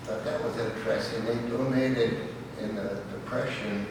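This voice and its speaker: A man's voice: lowish voice, fairly even intonation, moderate pace, gravelly. An older man giving a folksy, chuckling talk to a club meeting, with many fillers and a habit of adding "okay?". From Michigan, raised in Southern California. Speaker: guest speaker at a club meeting. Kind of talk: informal anecdotal talk. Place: large echoey meeting hall. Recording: echoey hall from far off with noise.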